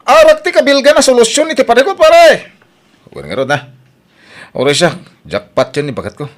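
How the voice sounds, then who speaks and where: A man's voice: very high voice, wildly swooping pitch, normal speed, exaggerated voice. A male actor playing a troubled, self-pitying husband who drinks, in an Ilocano radio drama, speaking with an Ilocano accent. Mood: defiant, complaining.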